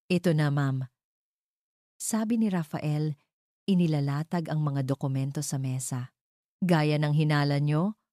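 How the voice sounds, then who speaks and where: A woman's voice: deep voice, warm tone, no echo, studio quality. A woman with a Filipino accent reading a dramatic first-person story as a scripted narration.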